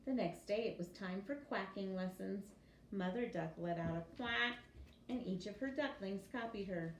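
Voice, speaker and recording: A woman reading a children's story aloud like a teacher, with expressive, animated storytelling delivery. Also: mid-range voice, normal speed. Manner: animated storytelling with acted character voices. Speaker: teacher reading a picture book to young pupils. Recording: echoey room with clear background noise.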